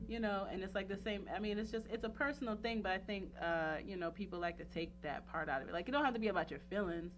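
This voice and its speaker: A woman hosting a casual radio talk show, chatting in a playful, animated, slangy and emphatic way. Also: mid-range voice, quick pace, few pauses, faint music behind. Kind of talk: casual chat.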